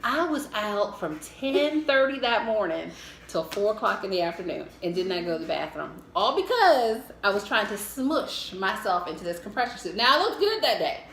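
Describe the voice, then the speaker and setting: A middle-aged American woman telling a casual, humorous personal story in a candid, animated way. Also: medium pitch, normal speed, creaky. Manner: animated, candid, acts out her own thoughts. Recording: echoey room with faint noise.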